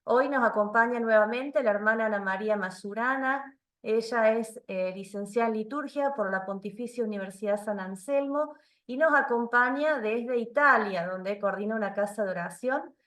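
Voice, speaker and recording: A woman's voice: a little high, moderate pace, a bit bright, reverberant, recorded from a distance. A woman hosting an online session and introducing a guest in a friendly way. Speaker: host.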